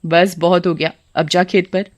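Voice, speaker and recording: A woman's voice: on the low side, level tone, normal speed, close to an everyday voice. A woman voicing a scolding, no-nonsense village mother in a cartoon story, nagging and exasperated. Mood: stern scolding.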